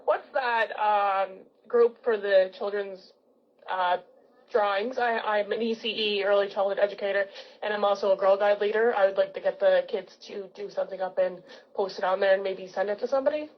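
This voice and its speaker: A woman's voice: mid-range voice, steady tone, normal speed, slight background noise. A woman who is an early childhood educator, calling in and speaking eagerly with some hesitation. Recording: lo-fi online voice chat audio.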